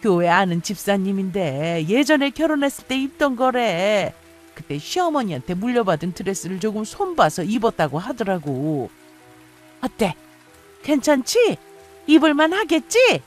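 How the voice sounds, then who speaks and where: A man's voice: high voice, big pitch swings, normal speed, soft background music. A man voicing a pushy, penny-pinching middle-aged mother-in-law in a radio story, coaxing and fussy. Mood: coaxing, self-satisfied. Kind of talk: dramatized radio story dialogue.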